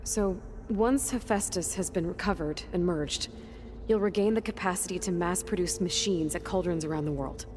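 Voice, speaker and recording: A woman's voice: on the low side, moderate pace, darkish tone, slight background noise, natural voice. A woman playing a determined, practical young heroine in a video game, speaking in a direct, understated way. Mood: probing, resolute. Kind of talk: video game cutscene dialogue.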